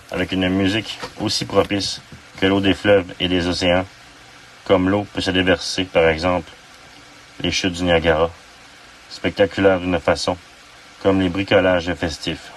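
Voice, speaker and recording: A man, an amateur poet reciting his own verse in deliberate, halting phrases. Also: low-pitched. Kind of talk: poetry recitation. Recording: distant mic with faint background noise.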